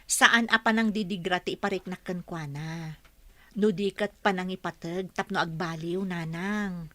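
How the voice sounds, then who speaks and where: A woman's voice: fairly low pitch, steady tone, measured pace, a bit bright. A woman playing a patient, devoted and hopeful Filipino wife in a radio serial, earnest and pleading. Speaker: radio drama actor.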